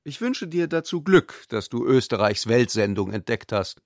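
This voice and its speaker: A man's voice: mid-range voice, big pitch swings, normal speed, no echo. Dry, ironic intellectual man. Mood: dryly ironic. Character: Ulrich, cool, sceptical, ironic Austrian intellectual man. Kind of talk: literary radio drama dialogue.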